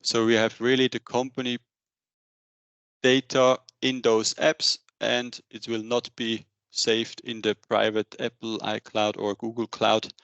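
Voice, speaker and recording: A man in his thirties giving a semi-scripted business webinar presentation into a headset, with a halting delivery. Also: medium pitch, little pitch movement, a bit bright, clean tone, no echo, lo-fi sound. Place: indoor room, on a video call.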